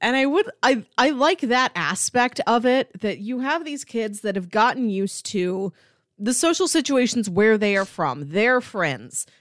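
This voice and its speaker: A woman talking casually as a podcast host, animated and peppering her sentences with "like". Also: a little high, a little slow, no room sound, clean studio sound. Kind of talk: casual chat about comics.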